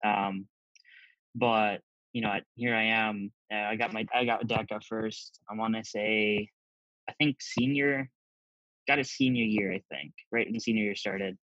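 A man's voice: fairly low pitch, steady tone, unhurried, a bit bright, no echo, lo-fi sound. A young man from Zambia raised in the Midwest, talking easily in a casual interview with lots of "you know". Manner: easygoing, many fillers like "you know".

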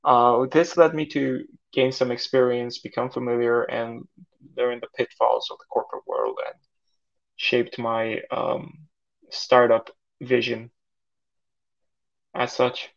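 A young man whose first language is Turkish, answering podcast questions in a halting way, with many fillers and restarts. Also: medium pitch, steady tone, vocal fry, dull audio. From Saudi Arabia. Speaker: guest, startup founder.

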